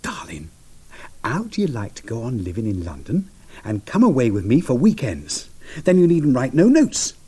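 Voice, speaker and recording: An actor playing a mild, affectionate Edwardian gentleman bridegroom in a period radio drama, diffident and whimsical as he woos. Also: mid-range voice, wildly swooping pitch, moderate pace, husky. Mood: coaxing.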